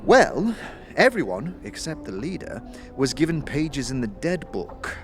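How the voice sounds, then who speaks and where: A man voicing a mischievous, worldly fixer in an audio drama, telling old lore in a wry, measured way. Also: mid-range voice, sing-song, soft background music, pitched above the performer's own voice. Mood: confiding. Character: Sebastian, mischievous worldly male fixer, knowing lore-teller.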